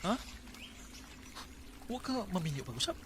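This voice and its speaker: A man's voice: sits high, very animated, measured pace. A man with a Filipino Cebuano accent acting an earnest, unlucky-in-love bachelor suitor in a radio drama. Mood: hopeful. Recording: very noisy radio drama with ambience.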